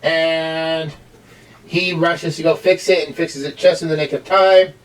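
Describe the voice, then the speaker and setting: A man in middle age with a somewhat high-pitched voice hosts a casual review. He rambles and halts as he talks. The microphone picks him up from some distance. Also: fairly even intonation. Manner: rambling, halting. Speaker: amateur reviewer hosting with a child. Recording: distant mic, home recording.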